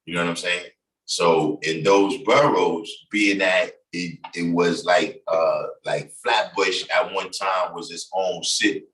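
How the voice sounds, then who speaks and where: A man's voice: deep voice, steady tone, slow pace, a bit bright. A middle-aged man from the Bronx with a New York accent, talking loosely on his livestream with lots of fillers. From New York City, Bronx. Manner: loose, conversational, many fillers. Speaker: livestream host. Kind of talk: casual livestream talk. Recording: echoey room, distant from mic.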